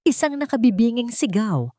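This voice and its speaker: A woman's voice: on the high side, very animated, normal speed, dark tone, no echo, clean studio sound. A woman narrator delivering a scripted dramatic love story in a suspenseful, emotive storytelling manner.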